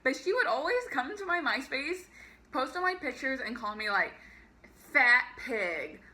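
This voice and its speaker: A woman's voice: very animated, a little slow, pushed and bright. A young woman telling a personal story to camera in a chatty, peppy way, laughing often and using lots of fillers. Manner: peppy raised voice over a lower natural one. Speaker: vlogger. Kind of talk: casual storytime monologue.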